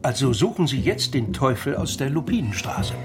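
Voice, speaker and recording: An actor playing an educated, courteous doctor under suspicion in a radio crime drama, precise and dryly ironic. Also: male voice, medium pitch, big pitch swings, normal speed, over music, natural voice. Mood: wry, curious. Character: educated, courteous, wry male doctor, an unemployed suspect.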